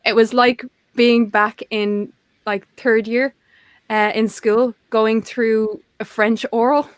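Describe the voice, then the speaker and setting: Chatty middle-aged woman, slightly high, dry sound. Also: flat delivery, slow pace, no room sound. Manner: rambling, self-deprecating, laughs often. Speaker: co-host of a livestream talk show. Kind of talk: casual chat.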